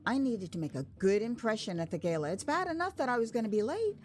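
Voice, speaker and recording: An American woman narrating her own memoir as a dramatized first-person story, conversational and expressive, acting out moments as she goes. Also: on the high side, quick pace, a little hiss or hum. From United States. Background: music.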